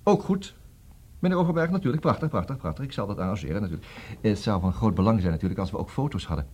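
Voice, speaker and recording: An actor with a Netherlandic Dutch accent playing a busy, brisk, distracted male lawyer in a radio comedy, repeating himself and hesitating. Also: lowish voice, expressive, a little mellow, slightly rough. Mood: businesslike, hurried. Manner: hesitant fillers, repeats words, juggles several conversations.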